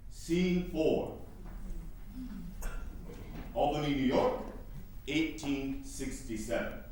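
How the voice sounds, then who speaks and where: A distant recording in a very echoey room. A man with a fairly high voice reads stage directions as scripted narration. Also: extremely slow, a little mellow, a little hiss or hum.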